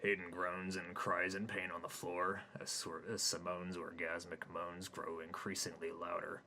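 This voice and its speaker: A man with a deep yet bright voice narrating his own comic story, flat and matter-of-fact. A faint hiss sits under his reading. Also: normal speed, rough recording. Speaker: narrator and author.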